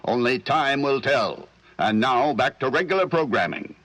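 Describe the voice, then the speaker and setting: An actor playing an earnest, grave American radio newsreader and announcer in the style of the 1940s. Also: male voice, medium pitch, expressive, moderate pace, fairly bright tone, no room sound.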